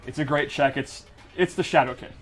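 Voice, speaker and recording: Man, fairly high voice, enthusiastic game developer, casual explanatory gameplay commentary. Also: fairly quick, a bit bright. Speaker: game developer demonstrating a fighting game character.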